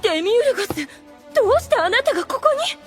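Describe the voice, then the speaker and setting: A proud demoness with a very high voice that swoops widely. She is ladylike, scheming and condescending, in full theatrical anime audio drama style. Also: very animated, quick pace, a bit bright, soft background music, full character voice. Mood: startled, defensive. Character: proud, scheming, lovestruck demon woman, chief of guardians.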